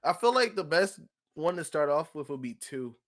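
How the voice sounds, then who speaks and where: A fairly high-pitched male voice in a relaxed group discussion. He is a podcast co-host who gives his opinions in loose, slangy everyday speech. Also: normal speed.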